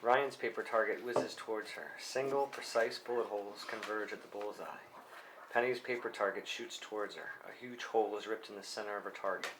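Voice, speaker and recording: Low-voiced man reading stage directions. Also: fairly quick, background noise. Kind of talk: scripted narration, screenplay table read.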